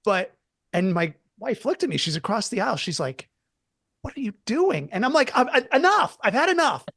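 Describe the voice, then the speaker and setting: High-voiced man telling a rambling story. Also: sing-song, fairly quick, a bit bright, husky, no room sound, studio quality. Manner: rambling storyteller, repeats words. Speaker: podcast co-host. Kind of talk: casual comedic chat.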